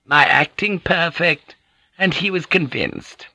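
A man's voice: a little high, slow pace, dry recording, pitched above the performer's own voice, full character voice, low-quality recording. A man playing a shrewd, deferential Japanese businesswoman in an audiobook, courteous and insistent. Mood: bitter, urgent. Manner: flattering, insistent, humbly courteous.

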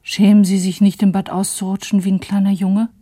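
An actress playing a scolding, insistent woman in a radio drama, with a breathy voice. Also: medium pitch, flat delivery, a little fast, dark tone, natural voice. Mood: scolding, coaxing. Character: scolding, insistent woman talking to a dazed man.

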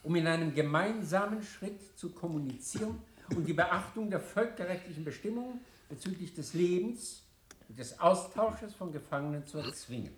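A pompous senior diplomat in a radio drama. His fairly high voice swings widely through solemn, florid oratory. The room around it is echoey. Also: animated, normal speed, a little hiss or hum. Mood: solemn, formal. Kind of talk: radio play acting, formal speech.

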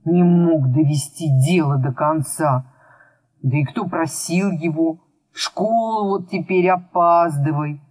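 A woman's voice: low voice, slow, darkish tone, no echo, rough recording. A woman voicing a puzzled, grumbly schoolboy of about nine in a storybook, with colloquial muttering and sighs. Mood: grumbly, annoyed.

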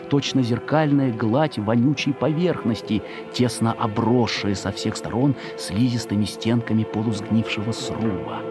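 A man narrating a children's story in an expressive, measured, suspenseful dramatic reading. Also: medium pitch.

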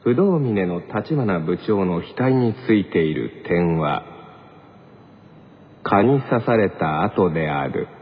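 Animated man hosting, husky voice. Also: low-pitched, slow pace. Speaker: radio show host reading listener mail. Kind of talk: light comedic radio banter. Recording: slightly dull radio recording.

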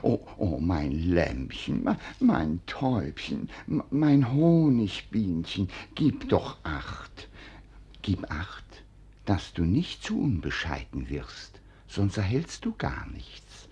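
Doting old nobleman, low and very hoarse-edged, faltering, evasive, for vintage radio drama. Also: huge pitch swings, dark tone, exaggerated voice. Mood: flustered, coaxing. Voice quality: husky, gravelly old voice. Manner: stammering, sighing, slow, coaxing pet names. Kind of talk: 1940s German radio play acting. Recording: 1940s radio recording.

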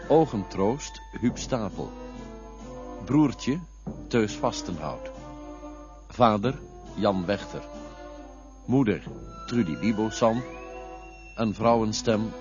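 A man reading the credits of a radio play in a measured, formal way. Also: on the low side. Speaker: radio drama announcer. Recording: dull lo-fi radio broadcast with music.

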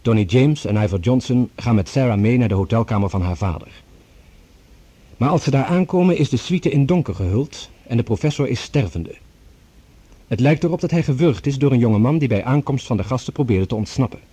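A man narrating a radio drama from a script in a measured, formal manner as announcer. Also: mid-range voice, expressive, warm tone, husky.

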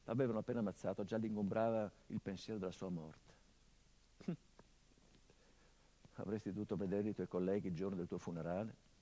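An actor playing a middle-aged son who mourns his murdered father, in a theatrical monologue that swings from hushed murmurs to impassioned outbursts, sardonic and biting. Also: lowish voice, steady tone, fairly quick, long pauses, close to an everyday voice, rough recording. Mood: bitter. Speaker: stage actor.

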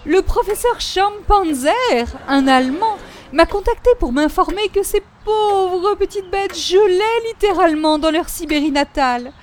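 Radio comedy fussy, gushing neighbour lady, extremely high voice, faint noise behind. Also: lively intonation, unhurried, few pauses. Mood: earnest, fussy. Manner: gushing, prim, exclamatory. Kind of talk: 1940s radio comedy acting.

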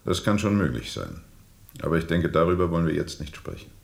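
A man playing a brooding, cynical ship captain in a German radio play, speaking sardonically and deliberately with weighty pauses. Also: low-pitched, big pitch swings, vocal fry, exaggerated voice. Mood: guarded.

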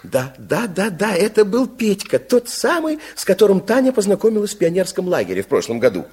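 A man's voice: high-pitched, huge pitch swings, a little fast, a little mellow, breathy edge, heavily acted. A man playing a kindly, playful storyteller in a children's radio play, speaking in a confiding way. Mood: amused, animated. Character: kindly fairy-tale narrator, playful and confiding.

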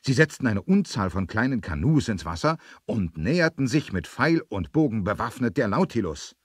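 Male narrator, low voice, lively intonation, suspenseful emphasis, vintage adventure radio play, dry close sound. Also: moderate pace, breathy edge, no echo. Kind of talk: dramatic scripted storytelling for 1970s adventure radio play.

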